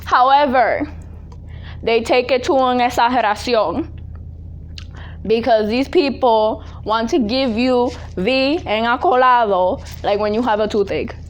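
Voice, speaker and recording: High-pitched sassy young woman telling stories. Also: a little slow. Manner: exaggerated, sassy, mimicking relatives. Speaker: storytelling vlogger. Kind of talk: casual comedic storytelling. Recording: audible background noise.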